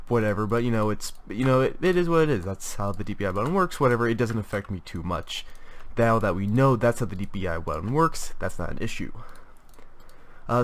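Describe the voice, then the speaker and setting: A young man with a stuffy voice from a cold, reviewing gaming gear in a casual, rambling way with many fillers and restarts. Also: on the low side. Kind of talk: casual product review talk.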